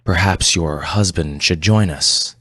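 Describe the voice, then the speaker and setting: A man reading a story, voicing a formal, measured male doctor. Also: deep voice, a bit bright, slightly rough, no echo, clean studio sound, voice pushed down, heavily acted. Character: formal bespectacled male doctor delivering test results. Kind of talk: audio fiction story reading.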